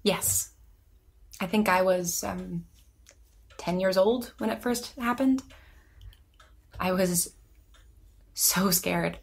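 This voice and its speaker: An actress playing an anxious, lonely woman in her twenties, speaking haltingly and self-deprecatingly in a naturalistic audio drama. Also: fairly low pitch, fairly even intonation, creaky. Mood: hesitant, reflective. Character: anxious, lonely young woman who vanishes through time.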